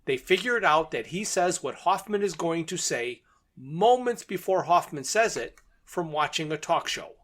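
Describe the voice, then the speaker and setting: A man's voice: a little high, animated, normal speed, a bit bright. A man co-hosting a podcast, casually recapping and discussing a story with wry, amused asides. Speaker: podcast co-host. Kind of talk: casual book and radio drama discussion.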